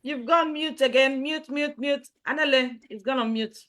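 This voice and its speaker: A woman's voice: sits high, fairly bright tone. Woman hosting an online panel. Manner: brisk, prompting speakers. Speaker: host. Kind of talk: moderating an online discussion. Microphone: video call.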